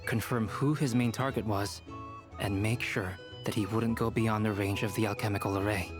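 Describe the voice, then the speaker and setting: A man's voice: on the low side, steady tone, normal speed, lightly acted. Man as a composed strategist over music. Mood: calm, explanatory. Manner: methodical, explanatory. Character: composed, analytical strategist explaining a battle plan. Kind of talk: video game voice acting. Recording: game audio under clear music.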